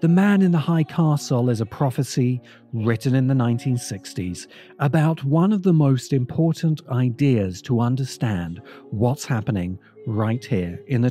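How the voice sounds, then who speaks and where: A man narrating a scripted video essay in a measured manner, pausing for emphasis. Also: medium pitch, lively intonation, warm tone. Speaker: host and narrator. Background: music.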